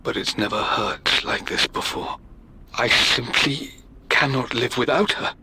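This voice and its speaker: A man's voice: medium pitch, a little slow, sharp tone, husky, heavily acted. An actor playing a middle-aged British scholar, earnest and emotive, reading his journal as a game voice-over. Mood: desperate resolve. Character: obsessive scholarly British father, earnest and brooding.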